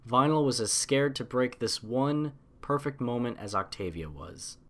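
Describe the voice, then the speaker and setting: A man narrates a piece of fiction in a medium-pitched voice. His delivery is scripted and literary, earnest in tone and dramatic in its phrasing, as in an audiobook. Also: normal speed, a bit bright.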